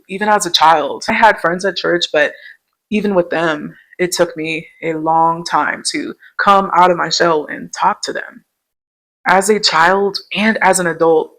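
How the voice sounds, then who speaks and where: A soft-spoken young woman hosting a podcast, telling her own story in a gentle, reflective and earnest way. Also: lowish voice, low-quality recording. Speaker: podcast host. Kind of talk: personal storytelling monologue.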